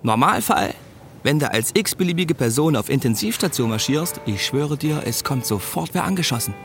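A man's voice: mid-range voice, animated, moderate pace, soft background music, close to an everyday voice. An actor playing a wry, streetwise male narrator, telling a police radio drama in colloquial, laconic, sardonic style.

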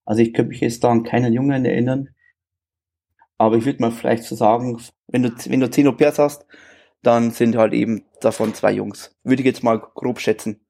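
A Bavarian-accented man in his early thirties talks as an interview guest, easygoing and chatty. His voice sits at an ordinary middle pitch, and he fills his pauses with little hesitation sounds as he thinks aloud. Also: vocal fry. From Bavaria, Germany. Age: 30s. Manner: relaxed and chatty, with frequent filler sounds. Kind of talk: casual conversational interview.

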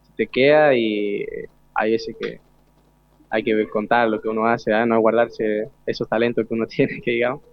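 A man's voice: on the low side, flat delivery, moderate pace, creaky, dry recording. A young male student in his teens with an Argentine accent, chatting casually and modestly in colloquial speech as he is interviewed. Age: teenager. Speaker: student delegate being interviewed. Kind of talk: casual chat. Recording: dull, lo-fi radio sound.

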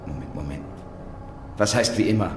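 An earnest reporter in a radio play, his voice fairly low. He questions hesitantly but insistently, with clear background noise around him. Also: expressive, moderate pace. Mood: intent. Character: earnest, persistent male newspaper reporter, a war veteran. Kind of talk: naturalistic German radio drama acting.

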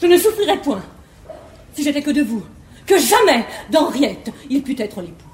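An actress playing a haughty, jealous, prudish young bluestocking in classical French verse comedy, speaking in an affected, declamatory way. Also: female voice, high-pitched, very animated, normal speed, a little mellow. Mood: spiteful, insinuating. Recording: echoey 1950s theatre recording, faint noise.

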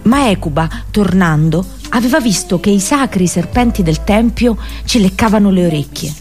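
A woman's voice: medium pitch, animated, moderate pace, a little mellow, close to an everyday voice. Woman acting a bitter, solemn Trojan prophetess, Cassandra. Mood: wistful narrating. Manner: solemn, intense storytelling delivery. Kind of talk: theatrical radio drama monologue. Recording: voice over background music. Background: music.

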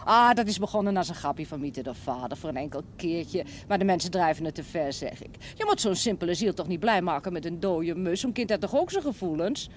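A woman's voice: lowish voice, animated, fairly quick, hardly any pauses, close to an everyday voice. An actress playing a chatty, forthright countrywoman who gossips bluntly and with plenty of exclamation. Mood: pitying, indignant. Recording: noisy old TV drama soundtrack.

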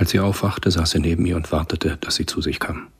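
A man's voice: very deep voice, fairly even intonation, fast, husky, soft background music. A man reading scripted narration for a radio play.

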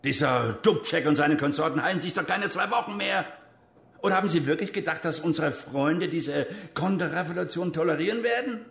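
A man's voice: fairly high pitch, a little fast, gravelly, a bit bright. An actor playing a stern, agitated older army major and father in a radio crime drama. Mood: agitated reproach. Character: stern, agitated East German army major, father, recounting his past.

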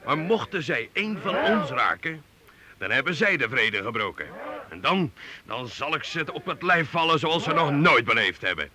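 An actor playing an arrogant, scornful medieval knight in a theatrical radio drama for youth, pompous, mocking and domineering. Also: male voice, a little high, very animated, normal speed, bright, forceful tone, full character voice. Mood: gloating, scornful. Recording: old radio recording with faint noise.

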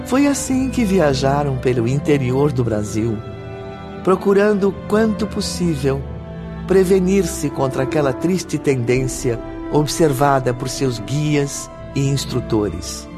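A man's voice: mid-range voice, lively intonation, slow, music in the background. A Brazilian man narrating a radio drama about spirits in a formal, dramatic storytelling style. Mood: solemn, explanatory.

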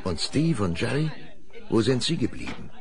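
A man's voice: medium pitch, big pitch swings, normal speed, some chatter behind, slight background noise. A man narrating a radio play as a storyteller, with suspenseful, emphatic delivery.

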